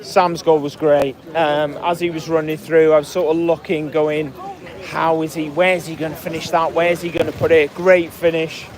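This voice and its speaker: Hoarse, high-voiced man rambling about football. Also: little pitch movement, normal speed, crowd noise. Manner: rambling, many fillers and restarts. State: hoarse, voice cracking. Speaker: podcast host. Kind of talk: casual post-match fan chat reading comments.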